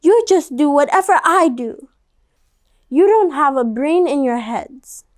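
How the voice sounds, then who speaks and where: A woman's voice: high-pitched, lively intonation, unhurried, pitched above the performer's own voice. A woman voicing a self-assured, insistent young schoolgirl from a storybook. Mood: defiant.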